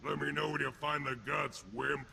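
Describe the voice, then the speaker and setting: A sneering alien thug from a video game, with a heavily growling, rasping voice and a sharp, hard edge. He talks in blunt, crude street slang like a hardened enforcer fresh out of prison. Faint noise sits behind him. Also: fairly high pitch, little pitch movement, normal speed, full character voice. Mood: taunting. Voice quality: gravelly, rough. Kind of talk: video game character acting.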